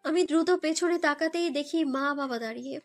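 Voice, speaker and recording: A woman's voice: sits high, normal speed. A young woman narrates a romantic story in the first person, in an emotive, girlish way, as if living it. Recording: background music under voice.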